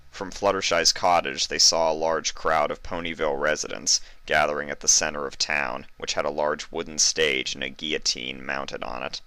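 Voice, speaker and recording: Deep-voiced man narrating a story. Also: pushed and bright, no echo. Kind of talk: scripted fan fiction narration.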